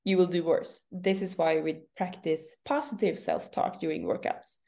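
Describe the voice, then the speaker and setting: A young woman from northern Norway talks in English with a Norwegian accent, a relaxed interview guest who laughs easily. Her voice sits low and sounds warm and mellow. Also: moderate pace, vocal fry. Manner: candid, laughs often. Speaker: CrossFit athlete guest. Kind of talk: casual interview.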